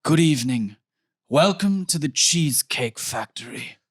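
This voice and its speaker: A man's voice: on the high side, animated, measured pace, voice pushed up. Man playing a solemn, portentous ageless waiter. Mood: ominous, formal. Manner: portentous, archaic formal speech. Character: solemn, ageless skeletal waiter, ominously grand and formal. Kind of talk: comedy sketch table read.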